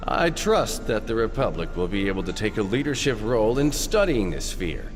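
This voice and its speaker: An actor playing a courteous, self-assured alien ambassador in a video game, polite and formal. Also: male voice, lowish voice, sing-song, moderate pace. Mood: courteous, confident. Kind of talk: scripted video game dialogue. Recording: long hall reverb over music.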